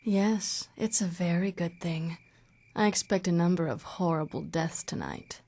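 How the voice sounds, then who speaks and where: A woman's voice: lowish voice, measured pace, mellow. An actress voicing a cool, dry-humored half-vampire woman in a game cutscene. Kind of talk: video game cutscene acting. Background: background music.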